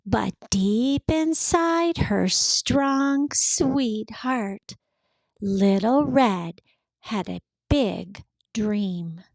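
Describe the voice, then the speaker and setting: A woman hosting a children's read-aloud, speaking expressively and deliberately in simple phrases for young listeners. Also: medium pitch, lively intonation, vocal fry, a little mellow, dry recording.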